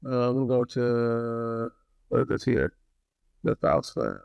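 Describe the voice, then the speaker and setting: A man's voice: mid-range voice, sing-song, normal speed, vocal fry, clear, resonant tone, dry recording, lo-fi sound. A man giving a casual, conversational hands-on product review, often repeating phrases for emphasis.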